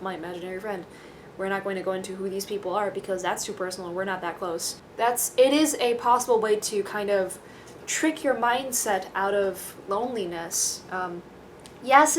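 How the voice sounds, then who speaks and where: A woman's voice: mid-range voice, fairly bright tone, slight background noise. A young woman from Taiwan talks casually to camera in a rambling, self-deprecating, dryly joking way. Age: young adult. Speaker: vlogger.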